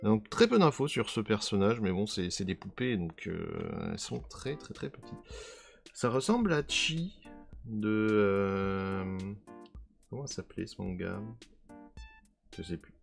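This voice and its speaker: A man with a hoarse voice casually commenting as he unboxes trading cards, often trailing off while he tries to recall names. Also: lowish voice, lively intonation, a little slow, soft background music. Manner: musing, trails off hunting for names. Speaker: card unboxing video host.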